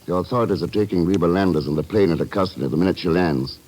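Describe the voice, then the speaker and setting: An actor playing a cool, authoritative secret agent in a 1950s radio drama, terse and composed. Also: male voice, sits low, racing, a little mellow. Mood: cool, firm.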